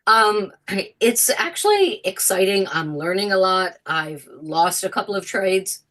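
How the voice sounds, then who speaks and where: A woman who is sick, with a raspy voice, chatting casually on a call and laughing often. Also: medium pitch, animated, a little slow, a bit bright. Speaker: caller. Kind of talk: casual chat.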